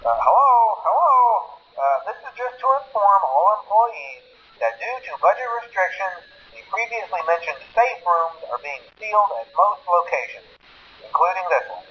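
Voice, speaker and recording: A man playing an awkward, polite corporate trainer in a video game, leaving deadpan workplace instructions through a telephone filter. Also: sits high, normal speed, heavily acted. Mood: awkward, matter-of-fact. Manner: hesitant greetings, then polite deadpan procedural delivery. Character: nervous, cheerful corporate phone trainer at a pizzeria. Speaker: employee training caller. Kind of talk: recorded workplace training message. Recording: muffled lo-fi phone recording with faint noise.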